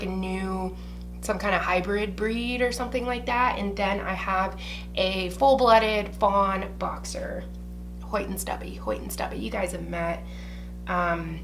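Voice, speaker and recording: A woman's voice: mid-range voice, fairly bright tone, slight background noise. Chatty swearing Ohio woman vlogger. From Ohio, USA. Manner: chatty, blunt, swears casually, drawls "girl" and "y'all". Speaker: beauty vlogger. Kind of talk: casual chat to camera. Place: small indoor room with shelves behind.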